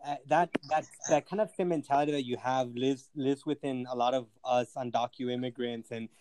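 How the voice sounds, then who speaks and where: A man's voice: mid-range voice, fairly even intonation, a little fast, a little mellow, no room sound. Male host, mid voice, encouraging delivery, dry close sound. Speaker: interview host. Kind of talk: interview hosting.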